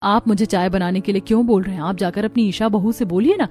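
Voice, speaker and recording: A woman's voice: medium pitch, quick pace, darkish tone, natural voice. A woman voicing stubborn, indignant young daughters-in-law in a family story cartoon, with an emphatic, complaining delivery. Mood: indignant, huffy. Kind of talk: Hindi moral-story cartoon dialogue.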